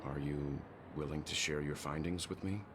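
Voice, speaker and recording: A man voicing a composed, courteous, probing gentleman in a video game, talking in a polite, deliberate, thoughtful way. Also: a bass voice, steady tone, noisy background. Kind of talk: video game voice acting, measured dialogue. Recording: loud music under the voice. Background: loud music.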